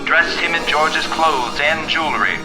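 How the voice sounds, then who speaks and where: An actor playing a menacing, all-knowing crime fighter in 1950s radio mystery style, ominous and theatrical. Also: male voice, a little high, music in the background, lightly acted, poor audio. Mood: accusing. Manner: slow, deliberate, ominous, theatrical.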